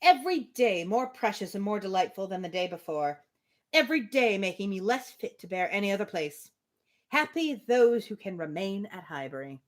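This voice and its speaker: Dejected, earnest young gentleman, read by a woman. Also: mid-range voice, sing-song, normal speed, natural voice. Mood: effusive. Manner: earnest, lamenting, formal speech.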